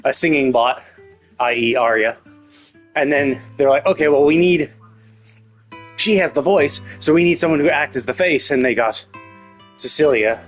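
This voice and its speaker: A man's voice: fairly high pitch, moderate pace, long pauses. Rambling man streaming, game music behind. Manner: rambling, thinking aloud; mild casual swearing. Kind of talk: casual live commentary on a story game. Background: game music with guitar.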